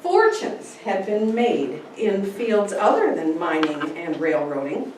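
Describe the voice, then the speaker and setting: A woman giving a dramatic reading of a memoir, telling it in a deliberate, theatrical way with pauses for effect. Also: mid-range voice, very animated, a little mellow. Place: large echoey indoor room. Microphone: distant camera microphone. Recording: very echoey room, distant, faint noise.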